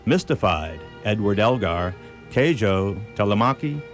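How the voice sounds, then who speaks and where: A man with a fairly low voice announces radio play credits. He reads from a script over clearly audible music. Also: expressive, unhurried.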